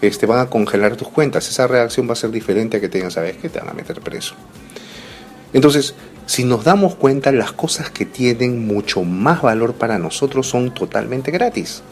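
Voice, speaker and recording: A man's voice: medium pitch, lively intonation, normal speed. A man in his thirties giving a reflective motivational talk, speaking straight to the listener and often asking rhetorical questions. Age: 30s.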